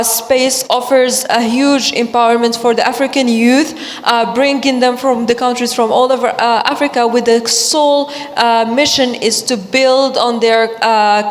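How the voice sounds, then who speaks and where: A young woman from Morocco whose first language is Arabic, speaking earnestly on a panel and often restarting her words. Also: a little high. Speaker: panelist, youth climate ambassador. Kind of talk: panel discussion. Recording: long hall reverb.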